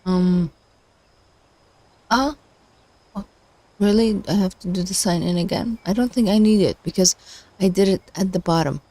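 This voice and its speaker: A woman's voice: mid-range voice, moderate pace, pauses often, warm tone, no room sound. A woman hosting a casual livestream, thinking aloud with many fillers and restarts while she is losing her voice. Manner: hesitant, many fillers and restarts, talks to herself. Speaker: livestream host learning machine learning.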